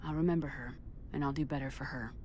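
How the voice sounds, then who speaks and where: Military commander, low female voice, firm, measured, game drama, slight background noise. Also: mellow. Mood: solemn. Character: resolute female military commander, steady and caring. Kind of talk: video game voice acting. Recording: faint background ambience noise.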